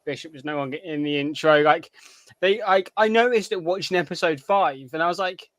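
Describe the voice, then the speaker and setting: A man co-hosting a podcast in casual review chat, speaking haltingly and repeating his phrases. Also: on the high side, a bit bright, no echo. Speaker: podcast co-host.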